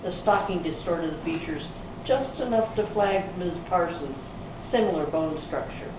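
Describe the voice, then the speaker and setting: Woman acting female police sergeant, low voice, brisk, authoritative, stage reading, distant noisy recording. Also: natural voice. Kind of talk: amateur readers theater reading. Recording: echoey room from far off, clear noise.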